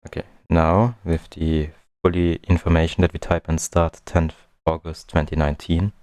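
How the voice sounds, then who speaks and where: A man's voice: sits low, flat delivery, moderate pace, a little mellow, no echo. A man giving casual gaming commentary, muttering to himself as he puzzles things out. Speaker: game streamer, let's-player.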